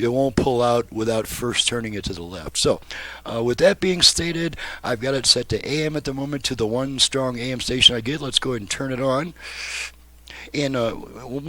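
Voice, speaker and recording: A man's voice: medium pitch, pushed and bright, dry recording. A man giving a casual hands-on demonstration as a repairman, explaining step by step in a plain-spoken way. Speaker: repairman demonstrating a restored car radio.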